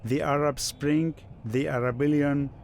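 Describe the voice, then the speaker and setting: A man's voice: a little high, steady tone, unhurried, darkish tone, slight background noise. A man from Syria giving a scripted first-person narration.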